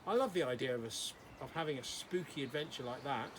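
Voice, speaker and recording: A man's voice: medium pitch, quick pace, noisy background, natural voice. A man voicing a curious, easily impressed young boy in a children's storybook, eagerly asking questions. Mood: excited.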